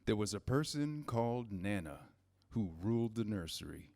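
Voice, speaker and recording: Low-voiced man narrating a storybook. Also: expressive, moderate pace, a little mellow.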